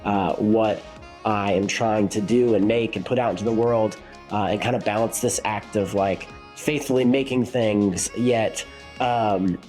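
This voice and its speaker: A man's voice: on the low side, fairly even intonation, unhurried, darkish tone, faint music behind. A congested, sick man talks through a casual reflective vlog as a worship leader, rambling with many fillers and restarts.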